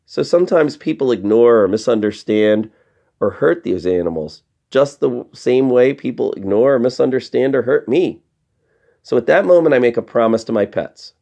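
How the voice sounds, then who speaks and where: A man narrates a children's storybook with lively, swooping intonation. His voice has a gravelly creak, and he moves deliberately from phrase to phrase, leaving dramatic pauses. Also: mid-range voice. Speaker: story reader. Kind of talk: storybook read-aloud narration.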